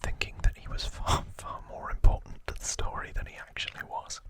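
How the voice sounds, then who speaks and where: A man hosting ASMR, whispering informal trivia narration in a hushed, rambling way with casual asides. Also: brisk. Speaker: ASMR host.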